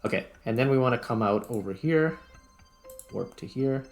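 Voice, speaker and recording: A man's voice: medium pitch, normal speed, gravelly. A man giving casual gameplay commentary, thinking aloud as he goes. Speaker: gaming video host. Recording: music playing behind the voice. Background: background music.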